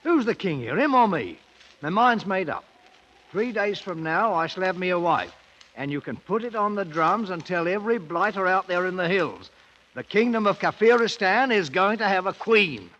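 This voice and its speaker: An actor playing a stubborn British ex-soldier turned king, with a working-class English accent and a commanding, rough-spoken manner, in 1950s radio drama style. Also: high-pitched, expressive, normal speed, lo-fi sound. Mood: defiant. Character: proud, stubborn British ex-soldier crowned king of hill country. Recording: vintage 1950s radio broadcast, loud music.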